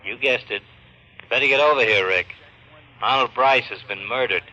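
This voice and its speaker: Weary radio-drama police lieutenant played by an actor. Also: male voice, mid-range voice, normal speed, natural voice. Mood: tired, grim. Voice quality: worn-out sounding. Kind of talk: old-time radio crime drama acting. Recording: muffled, slightly noisy old radio recording.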